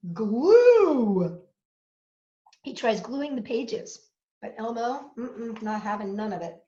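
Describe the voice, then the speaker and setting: High, animated woman storytelling for children. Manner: sing-song, exclaiming. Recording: roomy, dull lo-fi sound with music.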